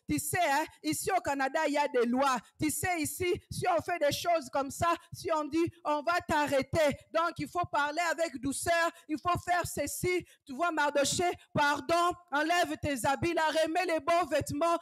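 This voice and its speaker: Woman evangelist, slow deliberate delivery, fervent sermon with hushed and shouted passages. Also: high voice. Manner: fervent preaching, swings from hushed whispers to shouted exhortations. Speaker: evangelist preacher.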